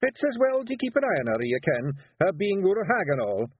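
A man's voice: high-pitched, very animated, a little fast, husky, no echo, pitched above the performer's own voice, exaggerated voice. A man voicing a small, blustering Scottish fairy clan chief who stammers when cornered. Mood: flustered. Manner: flustered broad brogue, stammering. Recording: tinny phone-like audio.

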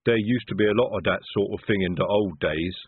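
A man voicing a large, calm troll with slow, plain working-class speech. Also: fairly low pitch, slightly rough, no echo. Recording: tinny, phone-like, dry.

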